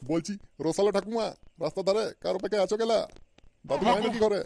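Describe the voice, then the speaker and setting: A man's voice: high-pitched, fast talker, gravelly, darkish tone, a little hiss or hum. Man playing a worried young cartoon villager. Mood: wary. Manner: whining, crude, hesitant. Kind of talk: amateur comedy cartoon dialogue.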